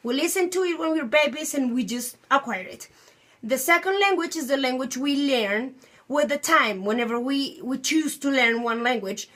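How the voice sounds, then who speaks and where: A woman in her twenties with a Colombian accent, a native Spanish speaker who teaches English, speaking thoughtfully and pausing to search for words. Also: high voice, a bit bright. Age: 20s. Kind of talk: personal talk on language learning.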